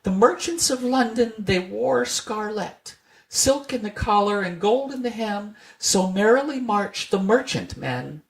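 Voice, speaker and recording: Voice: medium pitch, animated, measured pace, vocal fry, pitched above the performer's own voice, low-quality recording. A narrator voicing a chorus of tiny, merry, cheeky mice in thin, twittering singsong for a storybook. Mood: gleeful, singsong. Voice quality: small, thin, twittering voices. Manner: singsong nursery-rhyme chanting.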